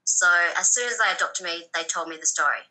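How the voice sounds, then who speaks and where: A woman in her thirties, born in Thailand and raised in Australia, speaks. Her voice sits a little low but has a very bright, sharp edge. She talks softly and hesitantly, pausing often as she recalls her story. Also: low-quality recording. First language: Thai. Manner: soft-spoken, hesitant with frequent ums. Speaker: guest. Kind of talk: personal story interview.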